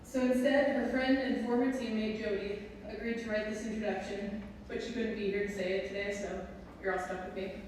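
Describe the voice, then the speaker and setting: A woman's voice: medium pitch, little pitch movement, fairly quick, a little mellow. Female presenter, Wisconsin accent, reading a prepared speech, far from the mic in a reverberant hall. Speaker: presenter introducing her mother. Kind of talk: prepared speech read aloud. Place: large echoing hall at a ceremony. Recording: echoey hall from far, faint noise.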